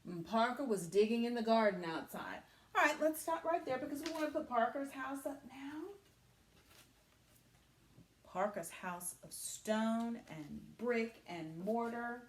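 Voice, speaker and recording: Female teacher for children's read-aloud: highish voice, unhurried, dramatic, big swings in pitch. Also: animated, roomy sound, a little hiss or hum. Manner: dramatic, deliberate phrasing with hushed asides. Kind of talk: animated read-aloud storytelling for young children.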